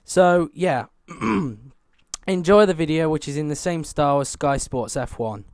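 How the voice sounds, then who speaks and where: A young man with a sore throat and a croaky voice, hosting his own channel and chatting casually to viewers. He keeps clearing his throat and fills pauses with ums. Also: on the high side, a little mellow, no room sound, clean studio sound. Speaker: YouTube racing channel host.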